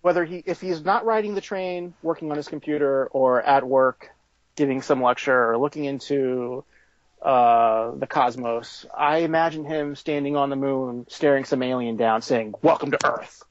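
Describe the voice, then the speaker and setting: A man's voice: mid-range voice, steady tone, moderate pace, dry recording, muffled recording. Man chatting casually in an interview. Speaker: toy company founder being interviewed.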